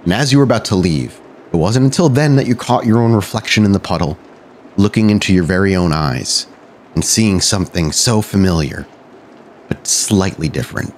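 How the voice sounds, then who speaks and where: A man's voice: deep voice, expressive, normal speed, a little hiss or hum. A man working as a game master, narrating a tabletop roleplay podcast with dramatic, cinematic scene-setting.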